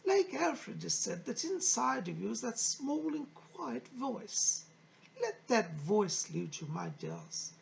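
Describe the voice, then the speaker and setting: A woman's voice: on the high side, big pitch swings, slow, creaky, a little hiss or hum, exaggerated voice, rough recording. Woman channelling Rose, an effusive, swooping lady spirit. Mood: earnest, encouraging. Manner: theatrical, gushing, genteel, endearing address. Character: Rose, effusive, motherly female spirit from the early 1900s.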